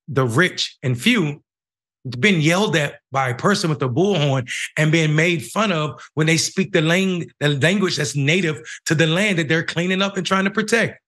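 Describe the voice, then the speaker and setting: A middle-aged man with an American accent hosting a news show, reading and commenting in a halting way with repeated phrases. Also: a little high, fairly bright tone. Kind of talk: news commentary, reading a report and giving opinion. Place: home room, indoors.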